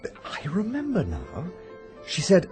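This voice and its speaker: An actor playing a polite, educated gentleman art historian in a radio drama, courteous and a little hesitant as he investigates. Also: high voice, wildly swooping pitch, unhurried, over music, heavily acted. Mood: polite, musing.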